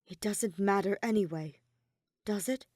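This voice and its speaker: A woman's voice: mid-range voice, a little slow, a little mellow, dry recording, close to an everyday voice. Woman as an earnest, stubborn teenage boy, close and dry. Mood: reluctant, weary. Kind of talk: dramatic character reading in an audio fiction.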